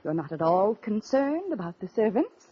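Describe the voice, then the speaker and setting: An actress playing a suspicious, strong-willed American heiress, crisp and pointed in a 1940s radio mystery style. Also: female voice, mid-range voice, sing-song, moderate pace. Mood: indignant, probing. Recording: muffled, noisy vintage radio recording.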